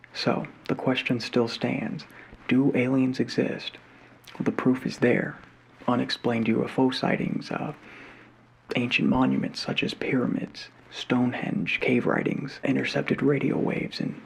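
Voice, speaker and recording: A man playing a cheery 1950s television talk show host, speaking softly with salesman patter. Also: medium pitch, vocal fry, husky, full character voice. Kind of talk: 1950s television announcer parody, soft-spoken.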